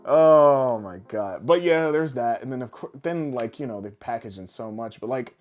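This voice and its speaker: A man's voice: medium pitch, lively intonation, normal speed, a little mellow. A man talking casually as a podcast host, opinionated and swearing freely. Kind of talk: casual pop-culture chat. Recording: background music under voice.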